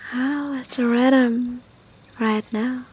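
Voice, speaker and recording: Soft, breathy, high-voiced woman guiding meditation. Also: fairly even intonation. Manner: soft, breathy, unhurried with long pauses. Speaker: meditation guide. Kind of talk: guided meditation, gentle instructions.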